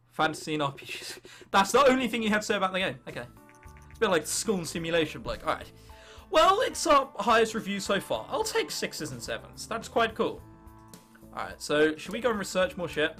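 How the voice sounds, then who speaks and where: A young man with an English accent commentates casually on a video game. His voice is high and bright and swings around a lot in pitch. He is irreverent and sarcastic, often talking to the game itself. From England. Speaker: gaming video host.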